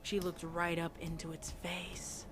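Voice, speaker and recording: A woman's voice: lowish voice, completely flat delivery, a little slow, background noise. A woman giving a scripted, dramatic narration of a story. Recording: loud music behind voice. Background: music.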